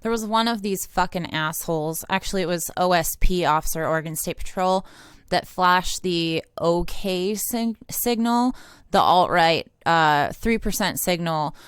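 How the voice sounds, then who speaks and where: An American woman with a fairly low, clear voice delivers unscripted political commentary. She is blunt and indignant and swears freely. The recording is dry and close, with little room sound. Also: unhurried, dry recording. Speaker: independent online political commentator and activist.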